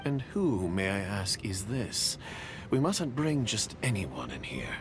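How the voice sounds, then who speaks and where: A man's voice: fairly low pitch, big pitch swings, slow, over music. A man voicing a guarded male conspirator in a video game, speaking with suspicious caution.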